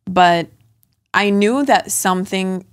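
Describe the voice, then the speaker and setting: A woman's voice: mid-range voice, takes it slowly, dry recording, clean studio sound. A young woman in her twenties from Atlanta with an American accent, telling personal stories in a chatty, confiding way as a podcast guest. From Atlanta, USA. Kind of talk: casual chat. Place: podcast studio.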